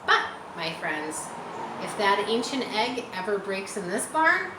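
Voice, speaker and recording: A woman voicing a composed, wise female spider in a storybook, speaking in a measured, articulate way. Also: mid-range voice, very animated, echoey room, background noise. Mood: wry, knowing.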